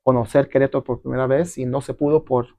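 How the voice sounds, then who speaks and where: A man's voice: medium pitch, steady tone, fast, warm tone, no room sound. A middle-aged man whose first language is not Spanish, telling his story in a casual interview, rambling with many fillers and tag questions. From abroad, not Mexico. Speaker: guest entrepreneur.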